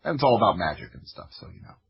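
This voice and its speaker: A man's voice: on the low side, very animated, very fast, slightly rough, dull audio. An American man telling stories casually as a podcast guest, in an anecdotal, self-interrupting way peppered with "like". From Florida, United States. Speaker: podcast guest, audio drama creator. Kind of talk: casual conversational storytelling.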